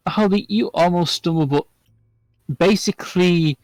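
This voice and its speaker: A man narrates a tabletop game as its game master, his voice sitting a little high. The sound is dry and close, with no room around it. He talks in a loose, relaxed way and often restarts his sentences. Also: measured pace, no room sound, rough recording.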